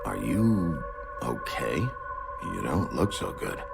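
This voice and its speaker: An actor playing a gruff, weary, sardonic detective with a gravelly voice in a dramatic video game. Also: male voice, extremely low pitch, big pitch swings, slow, over loud music, exaggerated voice. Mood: guarded. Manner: terse, sardonic, swearing.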